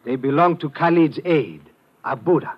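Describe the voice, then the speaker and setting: Actor as a stern, formal foreign police lieutenant. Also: male voice, fairly high pitch, animated, normal speed, heavy background noise, lightly acted. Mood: grave. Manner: precise, formal speech without contractions. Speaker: radio drama actor. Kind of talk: 1950s radio drama acting. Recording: dull old radio broadcast.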